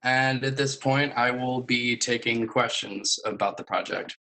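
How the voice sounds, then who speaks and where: A young man giving a semi-formal presentation about his student project, speaking in a hesitant, measured way. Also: medium pitch, steady tone, creaky, pushed and bright. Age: young adult.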